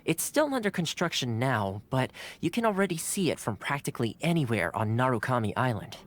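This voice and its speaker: An actor playing a courteous, playful yet earnest young retainer in a video game, speaking in polite, formal phrases. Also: male voice, mid-range voice, sing-song, a little fast, close to an everyday voice. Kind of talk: scripted game cutscene dialogue. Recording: music behind the dialogue. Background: game music.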